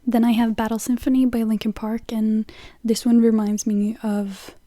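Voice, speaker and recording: Young Norwegian woman, mellow dry voice. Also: fairly high pitch, little pitch movement, moderate pace, dry recording. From Norway. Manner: chatty, many "like" fillers, lists songs. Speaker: vlogger. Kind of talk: casual chat.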